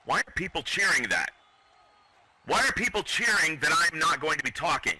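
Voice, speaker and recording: A man's voice: on the high side, sing-song, moderate pace, pushed and bright, poor audio. A man doing wrestling commentary in an arrogant, boastful heel persona.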